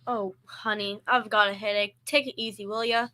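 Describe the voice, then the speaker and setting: A woman's voice: medium pitch, fairly even intonation, moderate pace, pushed and bright, a little hiss or hum, natural voice. A young female middle school student playing a sensible, weary, affectionate working woman in an old-time radio comedy.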